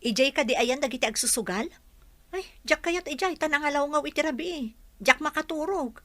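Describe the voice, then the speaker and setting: A wary, blunt roommate in a radio drama. Her voice is very high and bright, with a forceful edge. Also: brisk, no echo. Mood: doubtful, objecting. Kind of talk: Ilocano radio drama acting.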